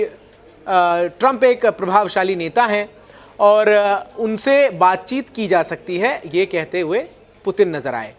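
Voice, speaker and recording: A man with a notably high voice delivers news commentary. He explains events as a presenter would, stressing his points emphatically and framing them rhetorically.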